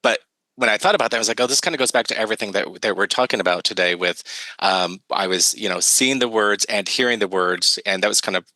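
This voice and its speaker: A man in a podcast interview, reminiscing with gentle self-mocking humor. His voice is very deep yet bright and forceful. The sound is close and dry. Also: fast talker, dry recording. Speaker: guest, early childhood educator.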